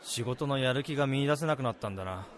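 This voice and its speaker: A man acting a gruff but caring senior salesman in a radio drama, speaking bluntly and casually. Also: medium pitch, quick pace, close to an everyday voice. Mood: firm, impassioned. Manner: blunt, casual, firmly advising. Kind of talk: Japanese radio drama dialogue and narration.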